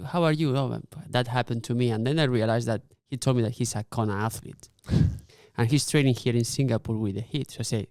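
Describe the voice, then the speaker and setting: A man giving casual interview answers, animated and halting with frequent restarts. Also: mid-range voice, dry recording. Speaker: guest, insurance executive.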